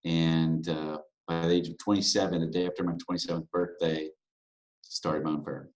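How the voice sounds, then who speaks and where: A man in his thirties, a broker from Louisiana, answering interview questions in a relaxed, candid way with plenty of fillers. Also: low-pitched, normal speed. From Louisiana, USA. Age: 30s. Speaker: guest, real estate broker. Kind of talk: podcast interview.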